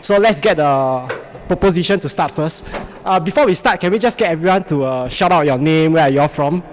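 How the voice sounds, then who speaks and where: A man moderating a medical debate, setting ground rules in a brisk, instructive way with repeated words. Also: a little high, big-room sound, slight background noise.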